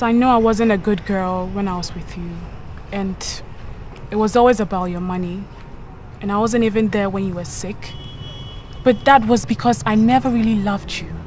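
A woman's voice: mid-range voice, a little mellow, a little hiss or hum, lightly acted. Woman playing a cold dismissive ex-wife. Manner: cool, dismissive. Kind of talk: melodrama acting.

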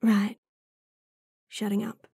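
A woman's voice: mid-range voice, completely flat delivery, takes it slowly, warm tone, slightly rough, no room sound, clean studio sound, exaggerated voice. A woman playing an idealistic, stubborn young werewolf in an audio drama, earnest and defiant but restrained. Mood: apologetic, firm. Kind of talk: audio roleplay drama acting.

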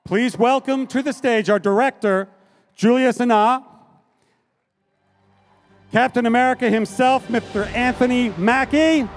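Male stage presenter, middle-aged, high voice, live announcement style over music. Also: a little slow, long pauses. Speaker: presenter at a fan convention. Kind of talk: stage announcements introducing guests. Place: large convention hall stage. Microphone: handheld microphone.

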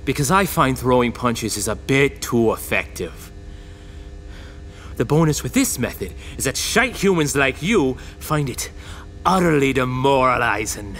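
Man acting a sardonic detective with swinging pitch. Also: expressive, measured pace, fairly bright tone, faint music behind. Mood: contemptuous. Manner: mock-polite, taunting, folksy. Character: sardonic, violent male homicide detective. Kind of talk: audio drama acting.

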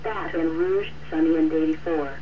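A woman's voice: low voice, fairly even intonation, moderate pace, recorded from a distance. A synthetic text-to-speech woman's voice reading out scripted weather conditions as an automated radio announcer. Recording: muffled, noisy radio receiver captured off-air.

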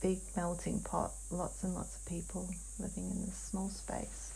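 A woman describing a trance vision in a dreamlike way as a guided hypnosis client, halting and hushed, with long pauses. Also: on the low side, completely flat delivery, creaky, slight background noise, poor audio.

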